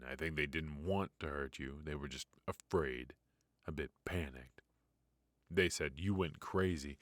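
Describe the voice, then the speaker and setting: A man playing a ruthless but protective mafia boss in an intimate noir roleplay, murmuring close to the mic with hesitant pauses and quiet menace. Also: extremely low pitch, dry recording. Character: brilliant, ruthless male mafia boss, possessive yet protective. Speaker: voice actor. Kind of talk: noir ASMR roleplay, intimate dramatic monologue. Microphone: close studio mic, dry.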